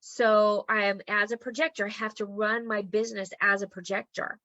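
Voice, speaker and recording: Woman teaching a group online, voice kinda worn out, bright and a bit high, dry muffled sound, keeps saying okay?. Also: little pitch movement, moderate pace, dry recording. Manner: chatty and encouraging, frequent "okay?" check-ins. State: losing her voice. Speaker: human design teacher.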